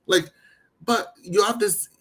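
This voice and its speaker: A man from Nigeria with a light Nigerian accent, a podcast guest telling his story in a quick, animated way. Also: high voice. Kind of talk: podcast conversation.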